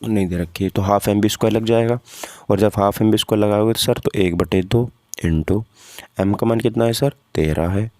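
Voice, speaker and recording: A man's voice: lowish voice, steady tone, normal speed, darkish tone, no echo, clean studio sound. A man teaching informally, working through practice questions step by step and often asking questions that he answers himself. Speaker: physics teacher for exam preparation.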